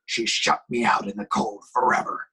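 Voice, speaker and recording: A man voicing a bitter, vengeful male killer, brooding and deliberate, in an amateur horror script reading. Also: on the low side, level tone, pushed and bright, slightly rough, full character voice. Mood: bitter menace.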